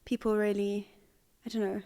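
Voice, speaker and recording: Young woman from Zimbabwe, artist, interview answers, hesitant, full of ums, medium pitch. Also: little pitch movement, normal speed, warm tone. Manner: hesitant, many fillers and restarts. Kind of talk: conversational interview.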